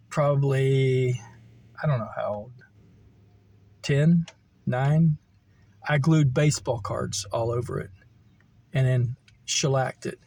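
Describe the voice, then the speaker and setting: A middle-aged American man reminiscing casually, in a halting, meandering way as he thinks aloud. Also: mid-range voice, darkish tone. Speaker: vlogger telling personal stories. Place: camper van.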